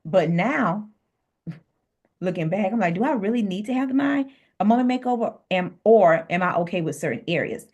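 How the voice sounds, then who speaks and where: A woman's voice: mid-range voice, normal speed, lo-fi sound. A woman co-hosting a podcast, talking frankly and telling stories in a casual chat. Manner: frank, animated storytelling, affirming listener. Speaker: podcast co-host, registered nurse.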